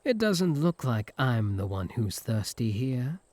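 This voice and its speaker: Man acting British male incubus, smug, mocking, flirtatious, very animated intonation, dry close sound, ASMR roleplay. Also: mid-range voice, measured pace, dry recording. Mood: smug teasing. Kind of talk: comic ASMR audio roleplay.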